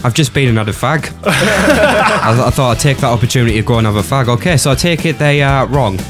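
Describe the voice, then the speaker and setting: A man's voice: mid-range voice, animated, a little fast. A young man hosting a casual radio show, laughing often and stumbling through restarts, in a small studio. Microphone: studio mic with headphones. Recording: music bed behind talk.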